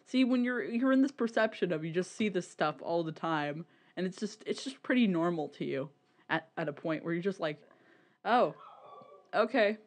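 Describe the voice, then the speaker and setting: Mid-pitched voice, casual podcast co-host, rambling and halting, repeated words, informal and sweary. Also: expressive. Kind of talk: casual podcast discussion of media.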